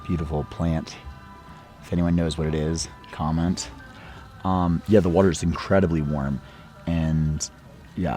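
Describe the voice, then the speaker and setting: A man losing his voice to a cold, chatting in a relaxed, meditative way as he sketches outdoors beside a hot spring. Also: rumbling low. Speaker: art vlogger. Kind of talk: casual travel vlog narration. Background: faint local music.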